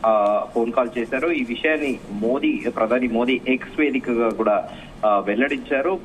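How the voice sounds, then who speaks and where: A man giving a live news report as a correspondent, halting often with filler sounds. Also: medium pitch. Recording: dull, muffled live link with faint noise.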